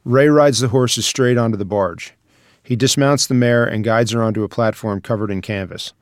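A man's voice: mid-range voice, normal speed, dry recording, clean studio sound. A man reading scripted stage directions as the narrator of a screenplay table read.

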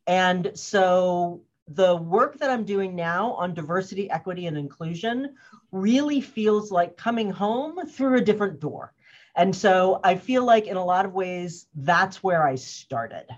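An American woman in her fifties, a guest answering interview questions in an earnest, reflective way, laughing often and pausing on fillers. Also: lowish voice, expressive. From United States. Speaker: guest, organizational wellness consultant. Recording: muffled lo-fi audio.

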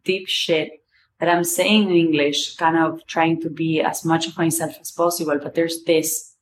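A woman's voice: deep voice, slight background noise. A woman in her twenties with an Argentinian accent, a native Spanish speaker, talking candidly and rambling in a personal podcast monologue. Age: 20s. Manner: candid, rambling, swears casually. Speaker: podcast host.

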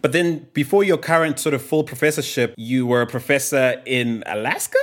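A man hosting a podcast interview, lively and reading out guest bios at length. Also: medium pitch, fairly quick. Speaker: podcast host.

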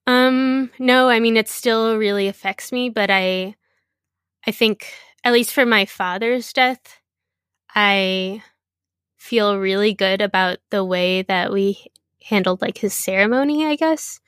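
A young adult woman being interviewed, talking in a halting, casual way with many fillers and restarts. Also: mid-range voice, a bit bright, smooth, no echo, clean studio sound.

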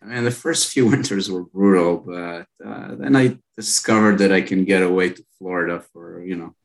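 Israeli-accented founder, late 30s, deep voice with gravel, easygoing storyteller who trails off. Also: male voice, normal speed. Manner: relaxed storytelling, frequent fillers and trailing off. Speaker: company founder and guest. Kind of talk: casual interview chat.

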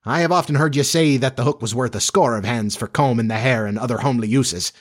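A man's voice: mid-range voice, quick pace, lightly acted. A man voicing a dim-witted, devoted pirate boatswain in a storybook, simple and eager to please. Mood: mild, innocent.

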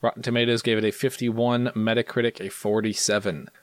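A man's voice: fairly low pitch, level tone, fairly quick, no room sound. A man hosting a casual podcast, playful and self-mocking as he reads out scores. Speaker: podcast host.

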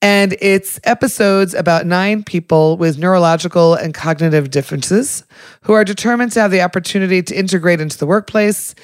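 Woman podcast host, smooth clear voice, dry close sound, measured questions. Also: on the low side, dry recording. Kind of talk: interview.

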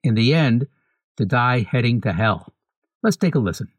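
A man reads a scripted podcast segment in a measured, even way. His voice sits at an ordinary middle pitch. It is recorded close and dry, with no room sound. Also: dry recording. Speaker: podcast host.

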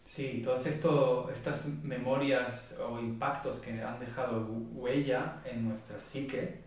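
A man, a body-focused therapist and interview guest, explaining his approach in a calm, measured way. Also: mid-range voice. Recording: very echoey room, distant mic.

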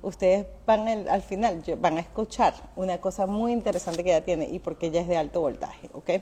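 Effusive woman host, mellow low voice. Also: fairly quick, breathy edge. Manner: chatty, informal, effusive, repeats words for emphasis. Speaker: live show host. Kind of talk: casual livestream interview chat. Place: restaurant. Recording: faint background noise of a restaurant.

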